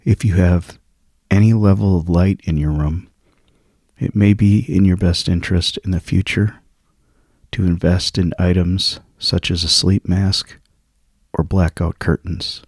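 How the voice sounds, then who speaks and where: A man leading a guided sleep meditation in a soft, hushed, soothing and husky voice, with long pauses between phrases. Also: low voice, fairly even intonation, no room sound, clean studio sound.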